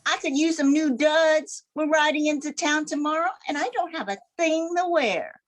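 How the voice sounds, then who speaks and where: Older woman plays vain gang gal, very high voice, radio western comedy. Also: moderate pace, natural voice. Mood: whiny. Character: vain, flirty female outlaw gang member. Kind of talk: amateur old-time radio comedy acting.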